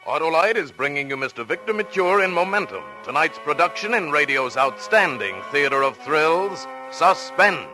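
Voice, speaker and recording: A man's voice: fairly high pitch, expressive, normal speed, hardly any pauses, low-quality recording. A man announcing a radio show in a dramatic, formal 1940s style. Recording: vintage radio broadcast, music behind.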